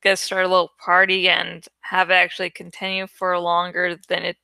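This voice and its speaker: A woman's voice: lowish voice, monotone, normal speed, vocal fry, sharp tone, smooth, no room sound. A woman hosting a reading channel, chatting casually to her viewers in a playful, joking way and swearing now and then. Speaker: host of a dramatic book-reading channel.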